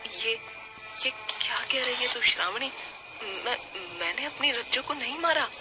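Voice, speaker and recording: A woman's voice: fairly high pitch, a little slow, pitched above the performer's own voice, natural voice. A woman voicing a frightened older village man in a ghost story, stammering and pleading his innocence over music. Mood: panicked denial.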